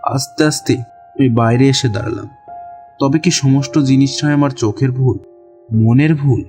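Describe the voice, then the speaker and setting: A man narrating a horror story in the first person with a deliberate, suspenseful, literary delivery. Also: medium pitch, steady tone, mellow. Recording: music behind the voice.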